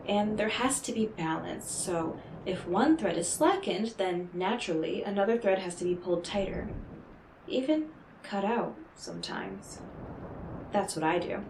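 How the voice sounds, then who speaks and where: Woman acting as a mysterious otherworldly lady dealmaker, coaxing n knowing, dramatic pauses, echoey room w noticeable noise. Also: mid-range voice, darkish tone. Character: enigmatic, smooth-talking female stranger brokering otherworldly deals. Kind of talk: scripted audio roleplay monologue addressed to the listener. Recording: roomy echo, clearly noisy background.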